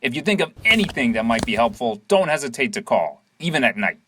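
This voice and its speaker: An actor playing a brisk police sergeant with an insistent, official manner in an audio drama. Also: male voice, medium pitch, very animated, very noisy, lightly acted. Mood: placating. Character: brisk male police sergeant questioning witnesses.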